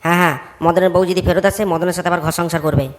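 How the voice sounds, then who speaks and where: A man's voice: sits high, steady tone, fast, natural voice. A man voicing a blunt village man in a comedy cartoon, scolding and judging his neighbour in crude words. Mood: firm.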